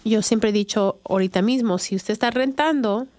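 A woman's voice: medium pitch, moderate pace, a little mellow, no echo. A middle-aged woman from Chicago hosting a radio show, talking casually with many fillers and restarts. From Chicago, USA. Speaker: radio show host, real estate agent.

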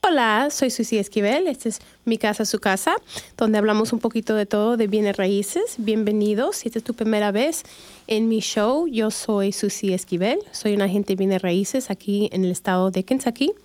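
A woman's voice: mid-range voice, normal speed, darkish tone, no room sound. A middle-aged woman from Chicago hosting a radio show, talking casually with many fillers and restarts. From Chicago, USA. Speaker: radio show host, real estate agent.

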